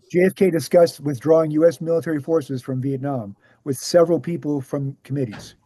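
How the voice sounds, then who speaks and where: A man reading the scripted part of a measured author who answers questions at a talk, deliberate and explanatory. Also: fairly high pitch, mellow, dry recording, natural voice, poor audio. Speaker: actor in a screenplay table read. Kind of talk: scripted dialogue read aloud.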